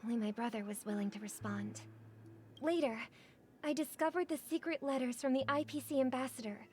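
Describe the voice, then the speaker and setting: An actress voicing a poised young woman singer in a story game. She speaks high and soft, composed and earnest. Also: brisk, lightly acted. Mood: calm. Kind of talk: game voice acting.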